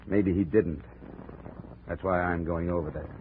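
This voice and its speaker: An American actor playing a hard-boiled insurance investigator in a 1950s radio drama, terse, dry and matter-of-fact. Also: male voice, sits low, steady tone, a little slow. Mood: blunt. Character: hard-boiled, blunt insurance investigator, narrating his own case. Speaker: lead actor of a radio detective series. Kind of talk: 1950s radio noir narration and dialogue. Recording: muffled, noisy 1950s radio recording.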